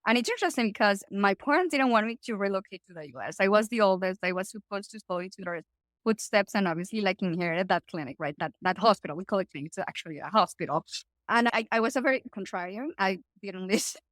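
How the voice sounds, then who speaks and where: A woman with a Colombian Spanish accent, Spanish as her first language, tells her own story as a podcast guest. She talks in long, animated runs with casual asides. Her voice is mid-pitched and sits dry and close, with no room around it. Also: quick pace, no room sound. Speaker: guest, startup founder.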